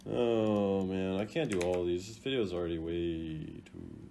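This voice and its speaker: A young man giving casual, playful reaction commentary as a gaming video host, jumping between loud exclamations and low mutters. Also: normal speed, gravelly, a little hiss or hum. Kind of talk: casual reaction commentary ranking game voice lines.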